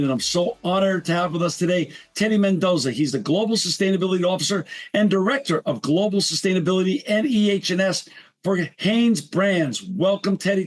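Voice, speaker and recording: Upbeat guy hosting a podcast, pretty high voice, gushing about his guest. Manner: enthusiastic, effusive. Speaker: podcast host. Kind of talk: podcast interview.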